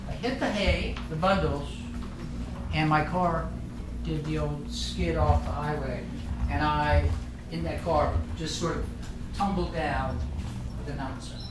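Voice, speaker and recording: A man's voice: fairly high pitch, unhurried, long pauses. A middle-aged man from Ireland giving a casual, joking talk to a live group, rambling with frequent restarts. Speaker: guest speaker. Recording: roomy meeting room, distant mic, noisy.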